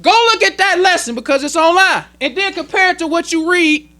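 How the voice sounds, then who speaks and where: A man's voice: extremely high pitch, fairly quick, sharp tone. An American man preaching as a minister, explaining religious teachings in an emphatic, colloquial way and repeating his key points. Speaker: minister teaching at a temple meeting.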